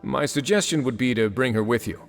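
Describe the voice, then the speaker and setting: A man's voice: medium pitch, fairly quick, gravelly, soft background music, close to an everyday voice. An actor playing a composed, shrewd man of authority in a video game, courteous in manner. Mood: persuasive.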